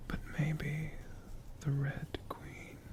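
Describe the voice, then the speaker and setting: Man as a slow, whispering friendly storyteller dragon. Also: a little high, completely flat delivery. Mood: gentle, musing. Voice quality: soft, breathy whisper with creaky edges. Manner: slow, gentle, lingering and repeating words. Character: friendly male storytelling dragon, gentle and bookish. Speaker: ASMR host.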